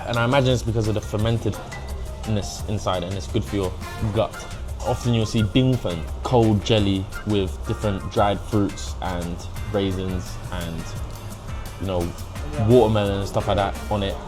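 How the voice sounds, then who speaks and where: A young man with a British accent and a low voice talks in a casual food-vlog style. He is chatty and informal, slipping Mandarin phrases into his English, with clear music playing behind him. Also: fairly even intonation, moderate pace. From England. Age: young adult. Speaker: food vlogger.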